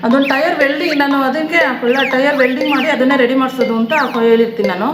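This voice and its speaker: Fast-talking, insistent woman in echoey room. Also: high voice, background noise. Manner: insistent, deadpan, repeats herself. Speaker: prank caller posing as car service staff. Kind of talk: prank phone call, improvised.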